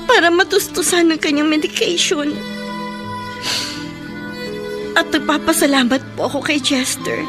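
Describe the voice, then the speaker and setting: An actress playing a young mother in a radio drama, speaking humbly and tearfully. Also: high voice. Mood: humble. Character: Marisa, young mother ashamed of her past. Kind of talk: Tagalog radio drama acting. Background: music.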